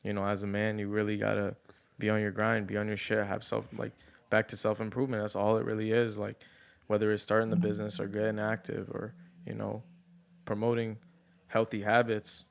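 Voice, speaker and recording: A man in his twenties co-hosting a podcast, speaking in a relaxed, low-key way. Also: fairly quick, creaky. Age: 20s. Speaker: podcast co-host. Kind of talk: casual chat.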